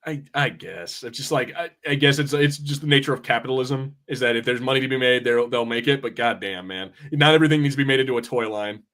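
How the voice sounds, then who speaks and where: A man co-hosting a podcast, chatting informally in a halting way with slang and swearing. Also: medium pitch, a bit bright. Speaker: podcast co-host. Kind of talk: casual film chat on a watch party.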